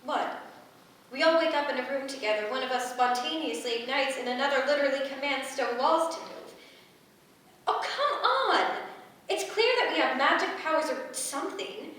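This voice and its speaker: An actress in a staged reading playing a sarcastic, blunt, quick-witted woman who is ready to argue. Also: high voice, fairly bright tone. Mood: exasperated. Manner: sardonic, combative. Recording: echoey room, distant mic, faint noise.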